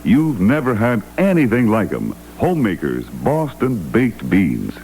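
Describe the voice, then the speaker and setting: An American man reading a scripted 1960s radio advertising pitch. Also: wildly swooping pitch, a little slow, loud music behind the voice. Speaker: commercial announcer.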